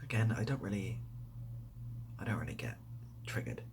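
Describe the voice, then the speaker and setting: A man speaking softly in a casual ASMR style, breathy and often dropping to a whisper, rambling hesitantly with a self-deprecating manner. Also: low-pitched, sing-song, normal speed, noisy background. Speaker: ASMR video creator and host. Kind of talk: soft-spoken ASMR, casual question-and-answer to camera.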